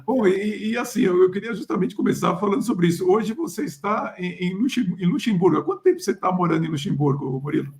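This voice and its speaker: A man's voice: high voice, animated, fast, few pauses, a little mellow. A male podcast host and career coach, interviewing a guest in a chatty way with many restarts and affirmations. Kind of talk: conversational interview.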